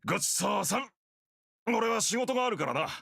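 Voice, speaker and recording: An actor playing an easygoing, gently chiding middle-aged father in a visual novel. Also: high voice, expressive, very fast, gravelly, husky, no room sound, studio quality, exaggerated voice. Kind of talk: Japanese visual novel voice acting.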